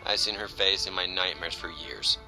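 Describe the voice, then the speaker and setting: A man's voice: deep voice, steady tone, normal speed, music in the background, poor audio. A young man with an American accent playing a protective, exasperated, foul-mouthed best friend in a naturalistic audio drama. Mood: subdued, concerned. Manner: blunt, profane, slangy.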